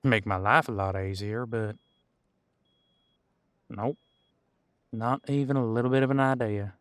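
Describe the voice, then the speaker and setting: A man's voice: lowish voice, fairly even intonation, measured pace, fairly bright tone, smooth, dry recording. Man playing a folksy veteran cop, dry close sound. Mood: folksy, reminiscing. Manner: easygoing, folksy, hesitant, chatty. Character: friendly, folksy, long-serving supernatural squad cop, older than he looks. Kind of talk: conversational audio roleplay to an unseen partner.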